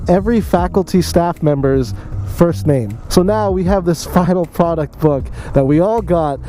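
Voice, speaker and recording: Mellow, higher-pitched young man telling stories. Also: normal speed, soft background music. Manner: chatty, addresses viewers directly. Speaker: vlogger telling a personal story. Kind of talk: casual storytime monologue.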